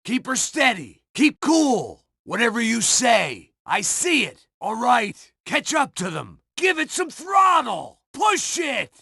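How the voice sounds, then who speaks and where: An actor playing a tough middle-aged male bodyguard, shouting urgent, impatient commands during a chase. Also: extremely high pitch, wildly swooping pitch, slow pace, vocal fry, hardly any pauses, husky, dry recording, clean studio sound, exaggerated voice. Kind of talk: video game combat barks.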